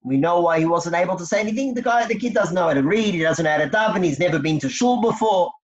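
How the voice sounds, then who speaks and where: A man's voice: sits high, fast talker. A man teaching a text study class, explaining step by step and quizzing his students. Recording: dull lo-fi recording.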